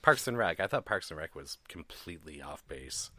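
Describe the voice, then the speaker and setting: A man with a lively, up-and-down voice, talking bluntly on a podcast and swearing freely. The sound is close and dry. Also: sits low, normal speed, no echo. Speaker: podcast co-host. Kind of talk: casual chat.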